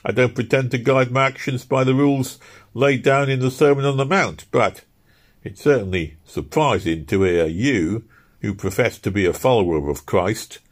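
A man's voice: medium pitch, voice pushed up, close to an everyday voice. A man voicing an articulate, earnest house painter arguing a point in a storybook reading. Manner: earnest, argumentative.

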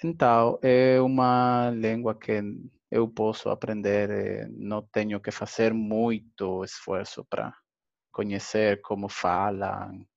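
Slow, hesitant man. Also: fairly low pitch, completely flat delivery, gravelly, muffled recording. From Dominican Republic. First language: Spanish. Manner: careful, hesitant learner speech with fillers. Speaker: language student. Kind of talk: interview answers.

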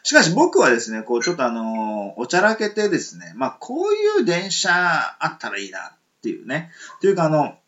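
Rambling Kansai man, lively pitch. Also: brisk, hardly any pauses, highs cut off. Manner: rambling, many hesitant fillers. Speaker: podcast co-host. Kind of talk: casual radio chat.

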